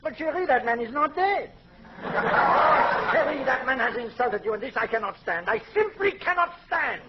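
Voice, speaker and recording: A very high, swooping voice for a florid French count, full of melodrama and cowardly bluster. It is 1940s radio comedy with a French accent, delivered slowly on a dull old recording. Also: male voice, lively intonation, very noisy. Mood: melodramatic. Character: flamboyant, cowardly French count, gushing suitor.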